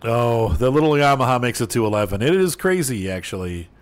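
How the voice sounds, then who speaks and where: A man's voice: medium pitch, animated, fairly quick. A man chatting casually and rambling to viewers while playing a farming game, laughing often. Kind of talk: casual gameplay chat.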